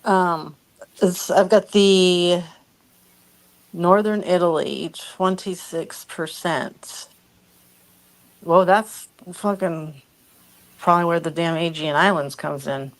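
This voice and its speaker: A woman's voice: fairly low pitch, steady tone, takes it slowly, long pauses, rough recording. A woman talking casually and bluntly as a co-host on a live stream, unfiltered in her way of speaking. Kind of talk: casual chat.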